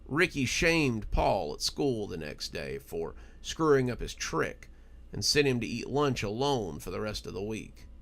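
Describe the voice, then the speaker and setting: A man narrating a horror story in a dramatic, expressive and deliberate storytelling style. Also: on the low side, vocal fry.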